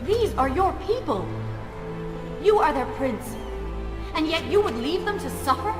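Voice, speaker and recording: A woman's voice: high voice, expressive, unhurried, over music. A woman playing a proud, compassionate young heroine in a video game, speaking earnestly and reproachfully in formal phrases. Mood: indignant. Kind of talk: dramatic video game voice acting.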